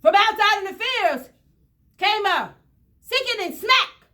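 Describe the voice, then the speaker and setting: A woman's voice: very high voice, expressive, takes it slowly, bright, forceful tone. A woman reading a picture book aloud to children, expressively and in short dramatic phrases, often speaking to them directly.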